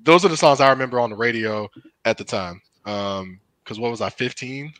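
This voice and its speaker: Bright, teasing man in his forties. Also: mid-range voice, fairly quick, dry recording. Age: 40s. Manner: teasing, needling banter. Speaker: podcast guest. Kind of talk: casual podcast chat. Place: at home.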